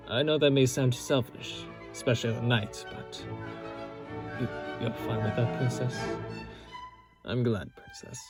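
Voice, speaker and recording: A man playing a loyal young knight in love with his princess, speaking gently and earnestly with halting pauses in a romantic audio roleplay. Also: mid-range voice, close to an everyday voice. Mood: shy, tender. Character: loyal young knight, the princess's tender childhood-friend lover. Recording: orchestral music behind the voice.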